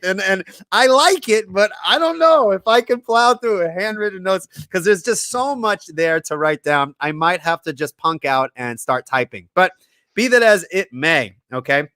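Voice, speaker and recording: A man's voice: high-pitched, pushed and bright, no room sound. A man from Puerto Rico hosting a podcast interview, chatty and joking, with many ums and restarts. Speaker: podcast host. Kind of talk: casual interview chat.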